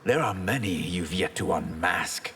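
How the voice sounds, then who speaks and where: An actor playing a proud fugitive villain with water powers in a video game, speaking in a formal, haughty way. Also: male voice, sits low, animated, a little slow, fairly bright tone, soft background music. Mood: grave, defensive. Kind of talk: video game dialogue acting. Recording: very noisy game sound backdrop.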